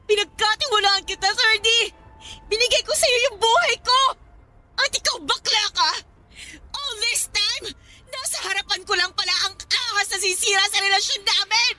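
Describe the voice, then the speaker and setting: Casting: furious betrayed young wife, very high voice, wounded and confrontational, radio drama delivery. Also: female voice. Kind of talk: Filipino radio drama acting.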